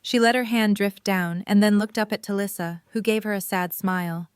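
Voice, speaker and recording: A woman's voice: mid-range voice, fairly even intonation, normal speed, no echo, studio quality. A woman reading scripted third-person narration for an audio drama. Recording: clear background noise with hum.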